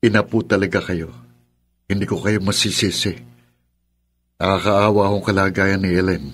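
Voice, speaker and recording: An actor playing a young, dutiful and protective son with a Filipino accent in a radio melodrama. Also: male voice, low voice, little pitch movement, normal speed, natural voice. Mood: concerned, restrained. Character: dutiful, protective adult son, quietly resentful for his parents.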